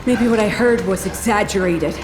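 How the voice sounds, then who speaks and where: A woman's voice: mid-range voice, normal speed, lightly acted. An actress playing a cool, tough, distrustful female soldier in a video game, with a curt and challenging delivery. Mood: cold, taunting. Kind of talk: video game cutscene acting. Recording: echoey hall with long reverb, music behind.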